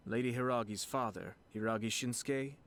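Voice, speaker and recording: An actor playing a refined, composed young nobleman in a game, speaking formally with measured courtesy. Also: medium pitch, fairly even intonation, a bit bright, lightly acted. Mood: composed, probing. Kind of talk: scripted game dialogue acting. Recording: clean studio voice over game music.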